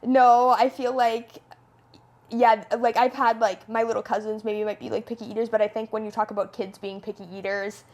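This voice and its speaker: A woman's voice: fairly high pitch, little pitch movement, moderate pace, a little mellow. Chatty young woman, fillers galore. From Canada. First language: Italian. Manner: chatty, peppered with "like", upbeat. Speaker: podcast guest, food reviewer. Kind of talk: casual podcast chat.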